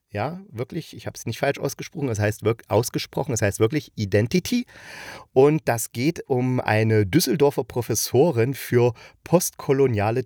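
Male podcast host, mid-pitched, dry close sound. Colloquial, keen and rambling solo reviewer, unscripted. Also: a little mellow, no echo. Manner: rambling, enthusiastic, colloquial, jumping between asides. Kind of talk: casual unscripted monologue review.

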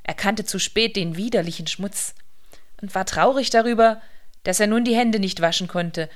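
Female narrator for a literary radio play, medium pitch, scripted storytelling. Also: normal speed.

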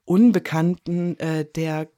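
A woman's voice: deep voice, steady tone, slow, warm tone, no room sound, studio quality. A woman interviewed as a radio drama director, chatting casually in a reflective, self-critical and hesitant way.

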